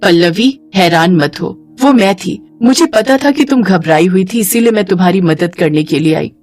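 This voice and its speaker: Woman as a calm, reassuring female ghost. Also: on the low side, normal speed, faint music behind.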